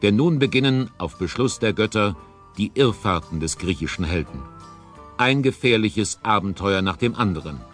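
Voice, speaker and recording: A man narrating a scripted audio drama trailer in a measured storytelling tone. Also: lowish voice, lively intonation, a bit bright, soft background music.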